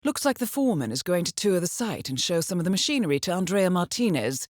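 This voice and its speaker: An actress playing a cool, composed intelligence handler who briefs an assassin in a video game, speaking formally and with dry understatement. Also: female voice, on the low side, sing-song, fast talker, dry recording.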